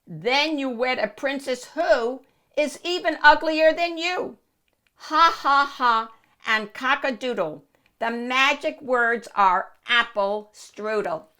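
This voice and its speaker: A woman's voice: sits high, slow pace, sharp tone, smooth, pitched above the performer's own voice, exaggerated voice. A woman voicing a gloating witch in a children's storybook, crooning and crowing her chants in a theatrical sing-song. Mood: crowing, gleeful. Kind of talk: children's picture book read-aloud.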